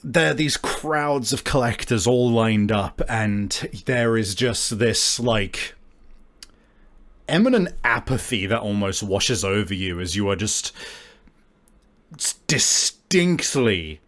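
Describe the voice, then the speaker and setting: A man's voice: lowish voice, expressive, takes it slowly, fairly bright tone. A man running a tabletop game as game master, narrating casually and searching for his words.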